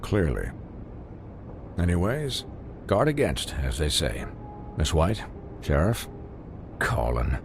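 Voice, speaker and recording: A tired snippy but polite doctor in a video game, deep lively voice, rumble behind him. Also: male voice, slow, pauses often, full character voice. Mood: earnest, weary. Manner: formal, clipped, condescending. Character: fussy, dry-witted male doctor, weary and courteous.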